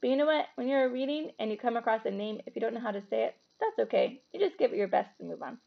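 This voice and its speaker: A woman's voice: fairly high pitch, animated, fast, gravelly, dull audio. A woman storyteller reading a children's book aloud expressively, with the odd casual aside.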